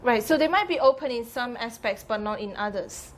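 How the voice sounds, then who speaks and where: A woman's voice: fairly high pitch, brisk, slight background noise. A woman lecturer leading a classroom discussion, probing students with follow-up questions. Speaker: psychology lecturer. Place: lecture room.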